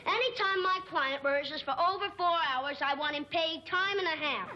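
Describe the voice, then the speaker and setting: A woman playing a brash, hard-bargaining talent agent in a vintage TV sitcom, brisk and pushy. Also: very high-pitched, muffled recording. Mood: businesslike. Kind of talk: 1950s television sitcom acting. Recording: very noisy old soundtrack, loud audience.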